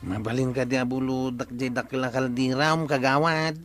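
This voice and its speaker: Easygoing mid-pitched Ilocano man, played by an actor. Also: flat delivery, normal speed, a bit bright, soft background music, lightly acted. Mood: casual, coaxing. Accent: Filipino, Ilocano. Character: easygoing village man asking to borrow things. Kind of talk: broadcast radio comedy drama acting.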